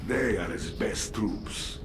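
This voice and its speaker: A man's voice: sits low, animated, slow pace, background noise, full character voice. A man playing a grim infested officer in a video game, with a grave, wry, dramatic way of talking. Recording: echoey, game music behind.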